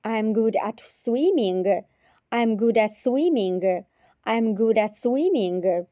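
A woman teacher repeating short phrases slowly and carefully in a repetition drill. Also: on the high side, lively intonation, warm tone, dry recording. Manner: repeats each phrase three times, carefully.

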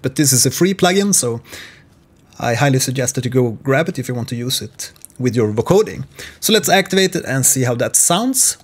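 A man in his forties giving an informal, measured, instructional screen-share tutorial on music software from a small home studio. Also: medium pitch.

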